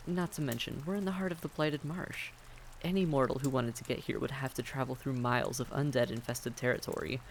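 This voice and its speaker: A man's voice: medium pitch, steady tone, a little fast, gravelly, a little hiss or hum, natural voice. A man playing a friendly, reassuring vampire innkeeper in a fantasy audio roleplay, speaking courteously and conversationally to the listener.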